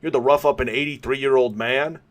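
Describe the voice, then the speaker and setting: A man voicing a gruff, exasperated middle-aged male police commissioner. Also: mid-range voice, fairly even intonation, normal speed, pitched above the performer's own voice, lightly acted. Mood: angry. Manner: exasperated, yelling.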